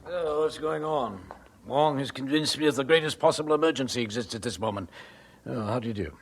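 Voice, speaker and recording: An actor playing a stern, skeptical older doctor in a missionary audio drama, brusque and disapproving. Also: male voice, mid-range voice, fairly quick, background noise, natural voice. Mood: gruff, disapproving.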